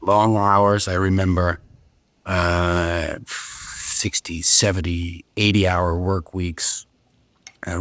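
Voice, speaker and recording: Deep-voiced man, halting speech. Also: no echo. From Netherlands. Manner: halting, with frequent "uh"s and restarts. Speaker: guest, pastry chef. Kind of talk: casual interview chat.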